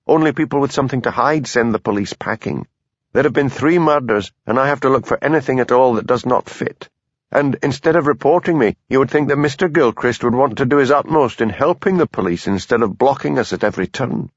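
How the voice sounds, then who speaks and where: A man voicing a nervous, earnest police constable with a Highland Scottish accent, in a dull lo-fi recording. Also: brisk, no room sound, close to an everyday voice. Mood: pleading. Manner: earnest, pleading.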